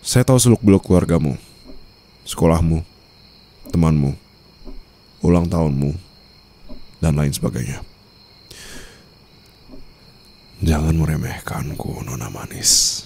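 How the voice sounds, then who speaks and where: A man acting as a menacing mafia boss and new husband in a romance roleplay. His voice is extremely deep and dark, with a heavy gravelly fry that sinks into murmurs. He speaks in a formal, smug, controlled way, teasing as he threatens. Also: moderate pace, pauses often, exaggerated voice. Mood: smug menace. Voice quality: very deep, gravelly, often dropping to a breathy murmur.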